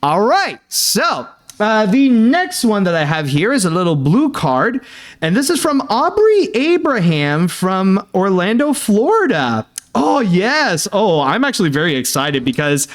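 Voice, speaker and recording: Young male host, slightly high voice, chatty and halting with lots of fillers, earnest fan-mail reader. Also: big pitch swings. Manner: halting, filler-heavy, many "uh" and "like"; earnest, thanking and sympathetic. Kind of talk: casual chat reading fan mail.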